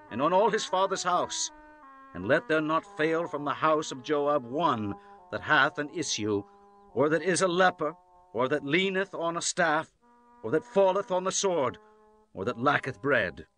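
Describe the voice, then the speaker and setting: A man's voice: a little high, animated, a little slow, sharp tone. A man playing a grave, commanding, grieving biblical king, declaiming solemnly in a dramatized scripture reading. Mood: stern, indignant. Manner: solemn, impassioned, archaic declamation. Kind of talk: dramatized scripture, declamatory radio-play acting. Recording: music bed behind the voice. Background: instrumental music.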